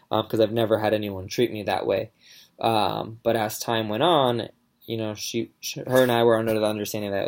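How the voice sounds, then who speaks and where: A man's voice: lowish voice, a little mellow. A young man giving a casual class presentation, talking in a hesitant way with many fillers and restarts. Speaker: student presenter.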